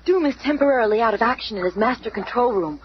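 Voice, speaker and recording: Woman as high-voiced earnest heroine. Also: expressive, fast, natural voice. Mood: urgent. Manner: urgent, concerned. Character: earnest, anxious young heroine to the rescue. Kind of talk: radio drama acting. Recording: muffled old radio recording, faint noise.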